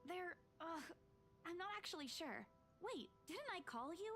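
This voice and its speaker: An actress playing a dazed but stubborn young real estate agent in a visual novel game. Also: female voice, extremely high pitch, big pitch swings, measured pace, a bit bright, faint music behind, full character voice. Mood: dazed, unsure.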